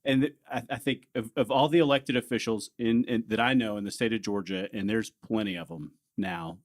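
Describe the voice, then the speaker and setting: A man hosting a podcast, chatting casually and rambling with restarts as he brings on a guest. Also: on the low side. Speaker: podcast host. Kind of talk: casual interview chat.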